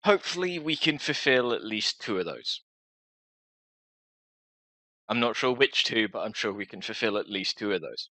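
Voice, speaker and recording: A man's voice: on the low side, animated, moderate pace, long pauses, sharp tone, no echo. A man hosting a gaming video, reading a visual novel aloud theatrically with self-deprecating commentary. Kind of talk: lets-play commentary and dramatic read-aloud narration.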